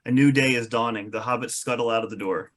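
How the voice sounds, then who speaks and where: A man with a mid-pitched voice narrates a screenplay's stage directions. He reads scripted scene descriptions as part of a table read. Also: steady tone, fast, a little hiss or hum.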